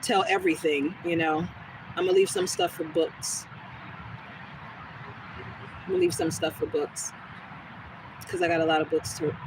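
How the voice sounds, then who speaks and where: A woman with a smooth, soothing voice, chatting casually with viewers during a live stream and answering their comments as she goes. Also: on the low side. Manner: relaxed, rambling, talks back to chat comments. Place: outdoors on a quiet street. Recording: noisy outdoor phone recording.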